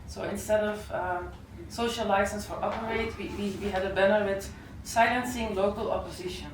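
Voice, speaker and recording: Woman activist, halting and repetitive, heard from across a noisy, echoey room. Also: medium pitch, fairly even intonation, distant mic. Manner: hesitant, repeats words, restarts. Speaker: activist speaker at post-film discussion. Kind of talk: casual panel discussion.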